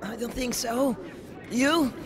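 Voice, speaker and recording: An actor voicing a naive, doubtful young man in an animated film, questioning his older guide in a hesitant way. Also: extremely high pitch, slow, crowd noise, background noise. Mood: uncertain. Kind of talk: animated short film voice acting.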